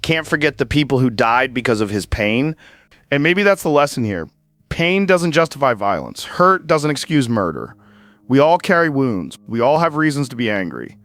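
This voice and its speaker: An actor playing an earnest late-night radio host who speaks in a reflective, measured way. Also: male voice, mid-range voice, dry recording, close to an everyday voice. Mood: somber. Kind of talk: audio drama monologue.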